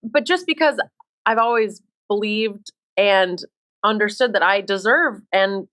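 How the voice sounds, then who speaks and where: A woman's voice: mid-range voice, unhurried, a bit bright. A woman in her thirties from Minnesota, a sports reporter chatting as an interview guest, upbeat and self-deprecating. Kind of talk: casual interview.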